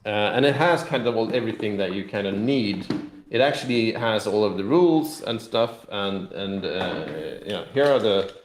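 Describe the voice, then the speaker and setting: The recording is roomy and echoing, and the man's voice sits fairly low. He talks casually as a designer being interviewed, feeling his way through explanations. His phrases restart and repeat as he goes. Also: animated, normal speed, slight background noise. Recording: roomy, echoing room sound.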